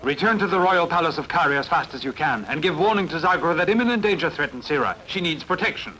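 A man's voice: on the high side, animated, quick pace, bright, forceful tone, slight background noise, poor audio. A man playing a formal, calculating courtly schemer in a dubbed adventure film. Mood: grave, urgent.

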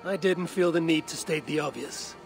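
An actor playing a proud, skeptical Viking clan leader in a video game, speaking in a sardonic, curt and dismissive manner. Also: male voice, a little high, moderate pace, vocal fry, faint music behind. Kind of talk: video game cutscene acting.

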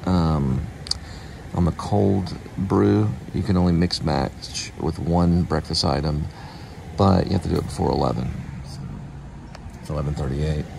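A man's voice: a bass voice, measured pace, gravelly, noisy background. A man vlogging casually while on a delivery run, talking in a rambling, offhand way that trails off and repeats itself. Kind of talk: casual talk to camera. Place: in and around a car on delivery rounds.

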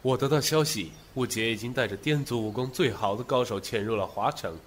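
An actor playing a grave, concerned ally in a Chinese period audio drama, earnest and measured. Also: male voice, mid-range voice, lightly acted. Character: grave, concerned male ally bringing intelligence.

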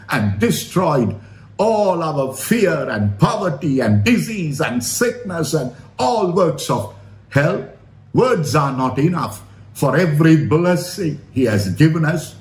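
An earnest male preacher delivers a sermon at an unhurried pace. His voice sits fairly high and rises and falls widely as he exhorts and repeats phrases for emphasis, with a roomy echo around it. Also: big pitch swings. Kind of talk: sermon retelling a Bible story. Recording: roomy, echoing sound.

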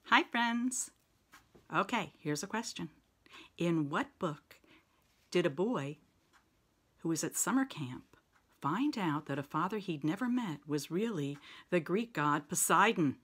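A woman's voice: on the low side, expressive, measured pace, long pauses, fairly bright tone, slightly rough. Older lady in her 60s asking book trivia into her phone, takes her time. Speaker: librarian posing a trivia question. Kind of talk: quiz presentation to camera. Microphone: phone at arm's length.

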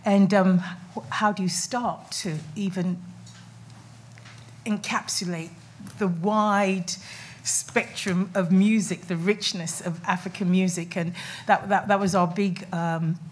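A woman's voice: medium pitch, fairly even intonation, slow pace, slight background noise. A middle-aged woman speaking on a festival panel in a conference room, talking chattily with many fillers and restarts. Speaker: panel speaker, documentary maker. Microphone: table mic on stand.

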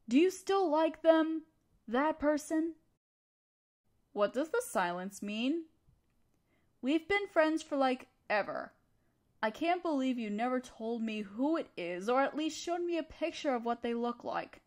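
Female college study-partner crush, played by a woman for intimate audio roleplay: high-pitched, conversational, teasing yet supportive, taking her time. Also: expressive, unhurried. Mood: playful, teasing. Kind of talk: intimate scripted roleplay acting addressed to the listener.

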